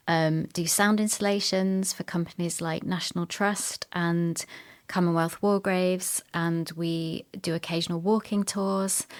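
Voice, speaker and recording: Middle-aged female podcast guest, slightly low pitch, conversational delivery. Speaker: guest, sound designer and producer. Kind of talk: conversational interview.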